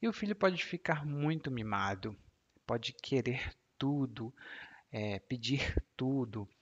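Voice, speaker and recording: Slow, patient Brazilian man teaching. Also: mid-range voice, animated, slightly rough, highs cut off. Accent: Brazilian Portuguese. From Ceará, Brazil. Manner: unhurried, patient explanations with soft asides. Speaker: Portuguese teacher. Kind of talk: explaining vocabulary in a language lesson.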